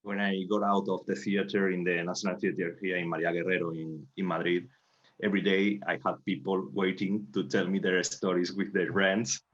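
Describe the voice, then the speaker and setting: A man's voice: sits low, little pitch movement, moderate pace. A man from Spain, a native Spanish speaker, telling anecdotes as a playwright on a panel, searching for his English words. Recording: dull, lo-fi online call audio.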